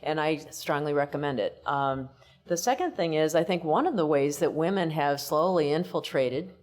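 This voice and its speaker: A woman's voice: low voice, normal speed, darkish tone. A woman on a conference panel, giving earnest career advice. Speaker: panelist, businesswoman. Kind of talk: panel talk to a live audience.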